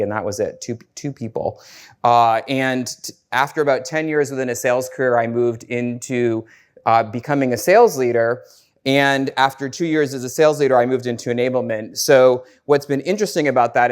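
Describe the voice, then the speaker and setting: A man with an American accent giving a casual, upbeat presentation to a conference audience, with frequent fillers and restarts. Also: medium pitch. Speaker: conference speaker. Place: conference room before an audience.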